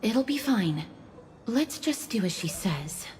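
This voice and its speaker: A woman playing a polite, perceptive young scholarly heroine in an anime game dub, speaking softly and formally. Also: mid-range voice, big pitch swings, slow pace, slight background noise. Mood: reassuring. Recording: game music behind.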